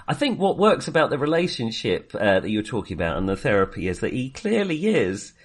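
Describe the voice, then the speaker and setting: A man co-hosting a casual film discussion, rambling and often correcting himself as he goes. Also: mid-range voice. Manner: hesitant, rambling, self-correcting. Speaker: podcast co-host reviewing films.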